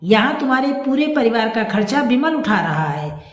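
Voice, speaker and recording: A woman acts out a scheming mother in a family audio story, needling and sarcastic. Her fairly high voice rises and falls with great animation in an echoey room. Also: sing-song, normal speed. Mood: mocking. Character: scornful, scheming mother taunting her grown son.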